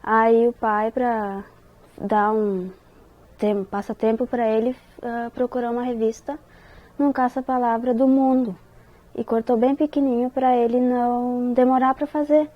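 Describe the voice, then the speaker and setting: A woman's voice: a little high, little pitch movement, lo-fi sound. A woman with a southern Brazilian accent who reads a short story aloud and then retells it in her own words. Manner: slow careful reading, quicker loose retelling. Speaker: interviewee.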